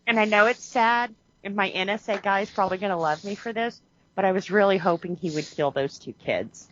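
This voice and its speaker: A woman co-hosting a podcast, chatting casually about a movie, animated and quick to laugh. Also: mid-range voice. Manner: animated, laughs often, acts out quotes. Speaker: podcast co-host. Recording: muffled lo-fi audio.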